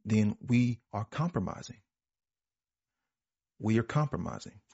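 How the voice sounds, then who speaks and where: Husky low-voiced man, earnest and preachy. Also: measured pace, warm tone. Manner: earnest, preachy, repeats phrases for emphasis. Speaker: panel speaker. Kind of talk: reflective spiritual talk. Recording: roomy, dull lo-fi audio.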